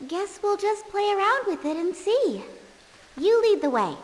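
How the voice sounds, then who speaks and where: Sassy high-pitched ancient girl. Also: big pitch swings, measured pace, full character voice. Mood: curious, assertive. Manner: sassy, blunt, casual. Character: brash, bossy ancient girl, two thousand years old. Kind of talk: video game cutscene acting. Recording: game music behind.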